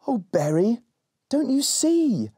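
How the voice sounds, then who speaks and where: Guy doing a bossy elf girl, brisk and high, swoopy, storybook voice. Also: very animated, dark tone, breathy edge, no echo, studio quality, exaggerated voice. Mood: encouraging. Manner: brisk, urging. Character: bold, bossy, encouraging young elf girl.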